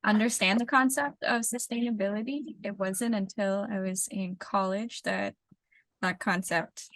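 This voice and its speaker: Bright, crisp woman with a gravelly edge. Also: medium pitch, steady tone, dry recording, low-quality recording. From the Bahamas. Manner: measured, explanatory, repeats words as she thinks. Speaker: interview guest, urban planner. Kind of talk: conversational interview answers.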